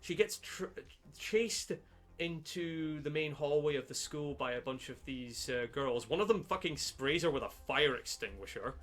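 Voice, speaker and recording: A man co-hosting a film podcast in casual chat, halting and rambling, with casual swearing. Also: fairly high pitch, animated, fairly bright tone. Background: background music.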